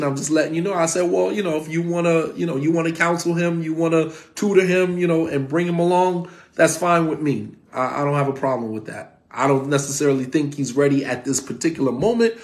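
A man in his forties gives an online class from his home, speaking straight into a phone camera at his desk. His voice sits fairly high for a man. He talks in a casual, animated way and drives points home by repeating them. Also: fairly quick, hardly any pauses. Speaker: Islamic teacher. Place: home room, indoors.

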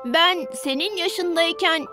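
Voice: very high voice, slow, music in the background. A performer voicing a young boy, a sensible and bossy older brother in a cartoon dub, explaining and correcting.

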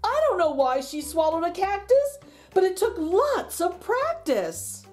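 A woman's voice: extremely high pitch, very animated, a little mellow, soft background music. A middle-aged woman reading a picture book aloud to children, theatrical and rhythmic, drawing out the ends of lines. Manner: theatrical, rhythmic delivery with drawn-out line endings. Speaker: children's story reader and channel host.

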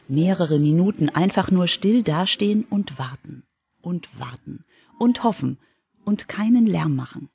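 A woman's voice: fairly low pitch, gravelly, husky, dull audio. A woman reporter reading scripted narration for a children's radio feature.

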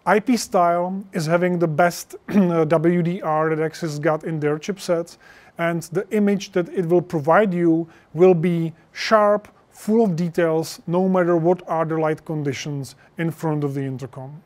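A man's voice: fairly high pitch, fairly even intonation, a little slow, darkish tone. A man in his thirties presenting a product in an explanatory way, with non-native English phrasing, on a lapel mic. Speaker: product presenter for an intercom maker. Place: indoor studio stage with projection screen.

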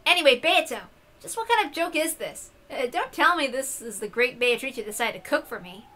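A woman voicing a brash, excitable young man in a loud, casual, emphatic way for a visual novel. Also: high voice, normal speed. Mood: uneasy, teasing.

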